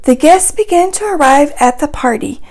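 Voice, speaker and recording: A woman reading a children's story aloud in an animated, sing-song way, adding little asides as she goes. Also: high-pitched, normal speed, faint music behind.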